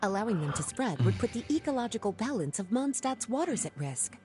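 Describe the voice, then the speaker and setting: A woman voicing a poised, unhurried scholar who explains matters in a composed, measured way, in video game dialogue with game music behind. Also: on the high side, sing-song, mellow.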